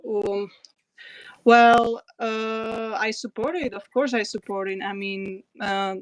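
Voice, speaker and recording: A woman's voice: fairly high pitch, fairly even intonation, slow pace, dry recording, rough recording. A woman from Slovakia with a Slovak accent, hosting a casual livestream and reading out viewer comments, hesitant with many fillers. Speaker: livestream host.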